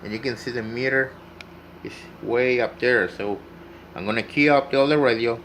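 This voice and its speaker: A man's voice: mid-range voice, normal speed, fairly bright tone. Man giving a hands-on tutorial, noisy room. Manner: unscripted, step-by-step, repeats himself. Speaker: hobbyist demonstrating CB radio repair. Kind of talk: casual hands-on tutorial talk.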